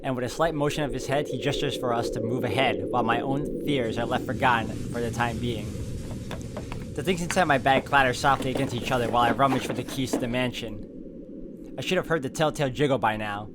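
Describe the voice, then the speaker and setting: A man's voice: mid-range voice, fairly quick, background noise. A man hosting a gameplay video, reading visual novel narration aloud as a story.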